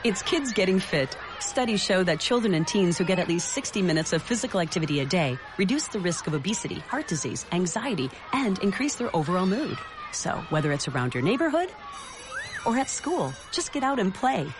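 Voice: mid-range voice, sing-song, a little fast, music in the background. An announcer reading a scripted health ad in an upbeat way.

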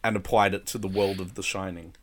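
A man's voice: deep voice, steady tone, quick pace, a little hiss or hum. A man co-hosting a casual film chat, explaining films with enthusiasm. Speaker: podcast co-host, film reviewer.

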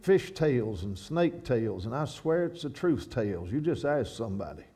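A man from Kentucky with a Kentucky accent, speaking at a church with halting restarts and reading a poem in a steady rhythm. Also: mid-range voice, breathy edge. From Kentucky, USA. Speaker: guest speaker. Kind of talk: sermon with a read-out poem.

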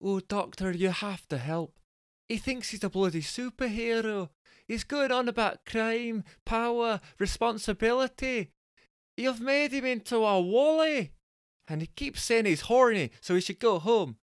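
Man doing a Scottish woman, high shifted pitch, nagging, whining, exasperated, hungover, comic storybook character. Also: a little slow, fairly bright tone, no echo, studio quality. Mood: frantic complaining. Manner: whining, scolding.

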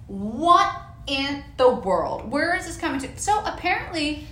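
A woman's voice: high voice, measured pace. A woman in her thirties co-hosting a casual podcast chat, telling stories and acting out both sides of conversations. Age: 30s. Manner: animated storytelling, acts out dialogue. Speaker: podcast co-host. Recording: roomy room with faint noise.

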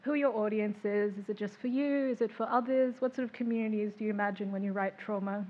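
A woman's voice: medium pitch, steady tone, quick pace, darkish tone, muffled recording, a little hiss or hum. A woman chairing a literary panel, introducing writers with frequent ums and small laughs.

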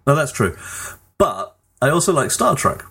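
A man's voice: medium pitch, big pitch swings, moderate pace, fairly bright tone, a little hiss or hum. A man chatting casually as a podcast host, with a wry, pedantic way of putting things. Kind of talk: casual gaming podcast chat.

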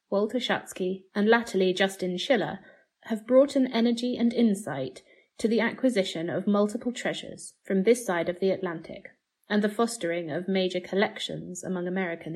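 A woman giving a formal, measured scripted narration of an essay. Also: medium pitch, a little mellow, slightly rough. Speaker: article narrator.

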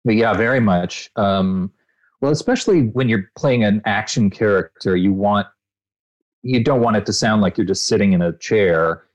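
A male voice actor being interviewed, telling expansive, animated anecdotes with frequent restarts. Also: on the low side, normal speed, dry recording, dull audio. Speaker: voice actor guest. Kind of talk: interview answers, storytelling.

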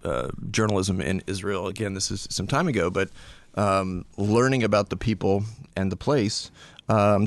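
A man's voice: sits low, no room sound. A middle-aged man from Arizona, a podcast guest answering questions in a casual, hesitant way with many restarts. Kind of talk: casual interview conversation.

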